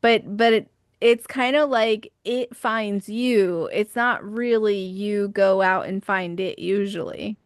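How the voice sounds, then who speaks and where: A woman's voice: medium pitch, slow, smooth, no room sound, studio quality. A woman hosting a podcast interview, chatting casually and supportively, laughing and agreeing often. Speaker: podcast host.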